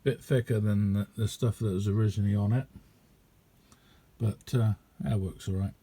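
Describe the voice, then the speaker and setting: A man giving a casual, rambling hands-on demonstration as a radio hobbyist, chatty and hesitant in an unhurried way. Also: on the low side, fairly even intonation, dark tone, slightly rough.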